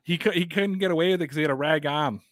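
A man hosting a sports podcast in casual chat, with energetic, rapid promo reading. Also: fairly high pitch, no room sound. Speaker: podcast host.

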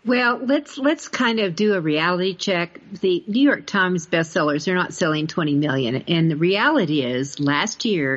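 A woman hosting a podcast interview in a conversational, emphatic, explanatory way. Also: low voice. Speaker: podcast host. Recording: dull, lo-fi audio.

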